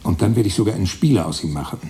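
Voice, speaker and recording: Man acting a deep, mellow, brooding storyteller. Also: animated, very fast, natural voice. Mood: musing. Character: brooding male storyteller inventing his character aloud. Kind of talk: German radio play acting.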